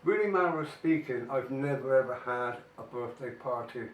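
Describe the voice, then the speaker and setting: An older man, talking casually through weekly questions while he has a cold, with many ums and mm-hmms. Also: medium pitch. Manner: slow, chatty, frequent mm-hmms and ums. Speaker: vlogger. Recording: echoey room, mic at a distance, faint noise.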